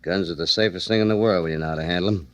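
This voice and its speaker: An actor playing a hard, bullying gangster in a 1940s radio drama, curt, slangy and threatening. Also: male voice, low-pitched, rapid-fire, fairly bright tone. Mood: menacing. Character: hard, bullying male gangster smuggling guns and dope. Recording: noisy old 1940s radio recording.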